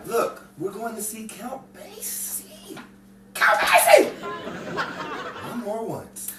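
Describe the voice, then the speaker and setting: A man playing a flustered, excitable 1950s driver who stammers defensively in a comic radio drama. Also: sits high, slow pace, exaggerated voice. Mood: excited. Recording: roomy, distant, noisy amateur radio play.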